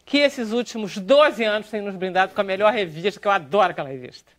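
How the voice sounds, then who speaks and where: A woman's voice: medium pitch, fast talker. A woman with a Brazilian accent hosting a TV chat show, informal and enthusiastic as she prompts her guests. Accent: Brazilian Portuguese. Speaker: talk show host. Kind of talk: casual interview chat. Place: TV talk show studio.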